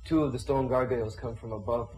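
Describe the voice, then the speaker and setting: Man, game master, tabletop game narration, middle pitch. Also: fairly even intonation, fast, a little mellow, husky, soft background music, low-quality recording.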